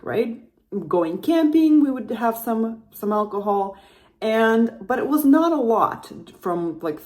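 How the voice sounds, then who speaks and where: A woman's voice: medium pitch, darkish tone. A woman from Russia hosting a podcast, telling her personal story in a chatty, rambling way and often correcting herself mid-sentence. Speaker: podcast host.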